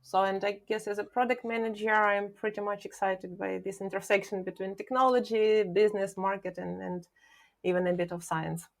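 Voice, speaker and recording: A woman from Ukraine, a product manager answering questions thoughtfully as a podcast guest, pausing often with filler sounds. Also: medium pitch, fairly even intonation, gravelly. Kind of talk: podcast interview.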